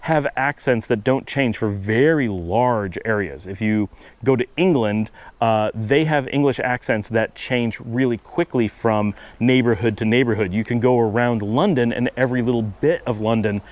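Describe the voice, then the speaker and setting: Middle-aged man, Buffalo-Cleveland accent, quick casual outdoor vlogger, medium pitch. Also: dry recording. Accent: Buffalo-Cleveland American. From upstate New York. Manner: fast-talking, trying to slow down. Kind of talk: casual walking vlog. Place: outdoors on a windy street.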